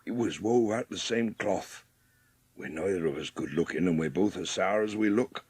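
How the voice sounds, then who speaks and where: An actor playing a gruff, lonely, sour old Yorkshire gardener in a dramatised audiobook, speaking blunt rustic dialect. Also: male voice, low-pitched, moderate pace, darkish tone, no echo. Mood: wry, gruff.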